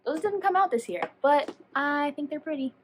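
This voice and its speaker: High-voiced woman rambling casually, noisy background. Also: lively intonation, normal speed. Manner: rambling, self-deprecating, tangential. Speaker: book reviewer vlogger. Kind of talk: casual chatty video talk.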